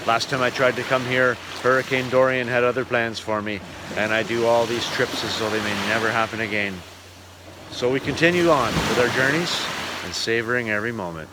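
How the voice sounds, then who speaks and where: Man narrating over loud outdoor surf noise. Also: mid-range voice. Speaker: travel vlogger and touring performer. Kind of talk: reflective travel vlog narration. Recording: noisy outdoor recording with surf.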